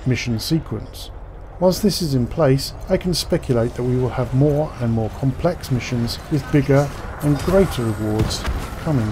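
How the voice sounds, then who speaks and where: A man's voice: medium pitch, background noise. A man giving scripted game news commentary as a gaming commentator, articulate and formal in his wording.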